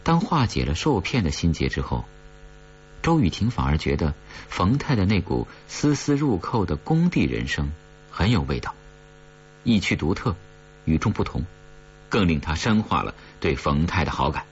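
A man's voice: low voice, big pitch swings, normal speed. A man narrating a story as an audiobook reader, in a dramatic scripted style. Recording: dull recording with faint hum.

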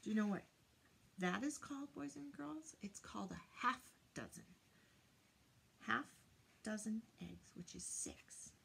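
A woman's voice: medium pitch, expressive, unhurried, creaky, pauses often, a bit bright, slight background noise. An older woman doing a children's read-aloud, teaching in a sing-song way and putting questions to her young listeners. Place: small indoor room lined with bookshelves.

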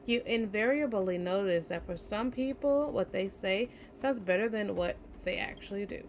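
Streamer: young woman, tired, casual let's play talk at middling pitch, faint noise behind her. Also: normal speed. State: tired, yawning. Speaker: game streamer. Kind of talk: casual let's play commentary, reading game text aloud.

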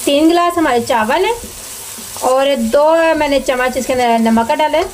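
A woman narrates a home recipe step by step. She instructs plainly and repeats details as she goes. She is recorded at the stove, with clear sizzling and frying noise behind her. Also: high-pitched, normal speed. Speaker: home cooking show host. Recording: noisy kitchen with sizzling pan. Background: frying, sizzling, stirring.

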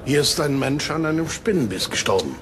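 An actor playing a brisk, authoritative police detective in a crime drama, curt and commanding. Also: male voice, a little high, expressive, a crowd in the background, natural voice. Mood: stern. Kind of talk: crime drama acting in German.

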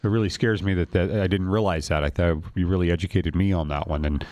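A man's voice: sits low, fast talker, a little mellow, no room sound. A middle-aged man interviewing guests conversationally as the host of a radio talk show.

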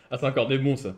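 A man chatting and arguing casually during an online role-play game, with a sarcastic, argumentative manner. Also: mid-range voice.

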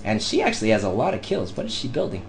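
Excitable young man calling his game. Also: medium pitch, fast talker, soft background music. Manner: excitable, bursts into shouts during fights. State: losing his voice. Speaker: gameplay commentator. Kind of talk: live gameplay commentary.

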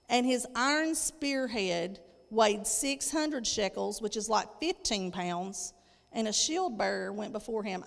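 A woman in her thirties preaching a sermon, reading scripture and explaining it emphatically, repeating phrases for weight. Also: a little high. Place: church stage indoors, at a lectern. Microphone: handheld microphone. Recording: echoey hall with long reverb.

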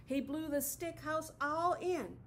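A woman's voice: sits high, takes it slowly, fairly bright tone, a little hiss or hum. A middle-aged woman teacher reading a picture book aloud expressively to children, recorded in a classroom. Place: classroom, indoors.

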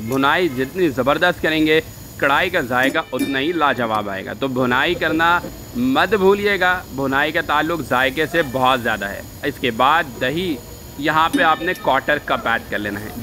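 A man narrates recipe steps as a food vlogger. His voice sits a little high, and faint kitchen noise runs behind it. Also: bright, forceful tone. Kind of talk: instructional recipe voice-over.

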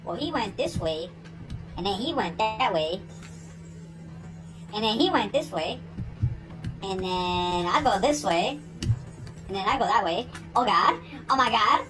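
A woman's voice: mid-range voice, lively intonation, measured pace, long pauses. A woman talking in a casual, everyday style. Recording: music over the voice.

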